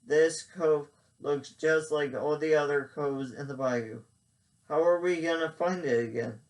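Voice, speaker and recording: Male reader as Jean Sot: gloomy, simple Cajun guy, slow and earnest. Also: a little high, steady tone, recorded from a distance. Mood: worried.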